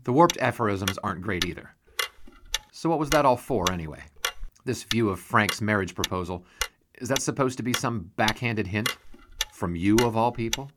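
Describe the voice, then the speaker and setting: An actor playing a bewildered, grumbling sportswriter, dry and skeptical, in a 1920s audio drama. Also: male voice, fairly low pitch, expressive, moderate pace, heavy background noise, close to an everyday voice. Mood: irritated, skeptical. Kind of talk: period audio drama acting.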